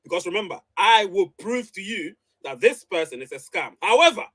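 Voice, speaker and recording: A man's voice: sits high, lively intonation, fairly quick, fairly bright tone. A man hosting a live online show, talking to his followers emphatically and repeating phrases for emphasis. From Ghana. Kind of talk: live reaction commentary to followers.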